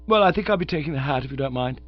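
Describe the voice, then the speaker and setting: A man's voice: medium pitch, expressive, rapid-fire, highs cut off, lightly acted. A man voicing a breezy young Oxford student, rattling on chummily. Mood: breezy, chatty. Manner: rattling, chummy, full of "you know".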